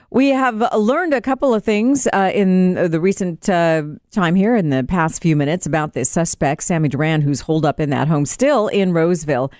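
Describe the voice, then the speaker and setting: Female American radio anchor, lowish voice, close dry studio sound, live news hosting with ad-libbed handovers. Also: darkish tone, no echo. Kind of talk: live news anchoring, handing over to reporter.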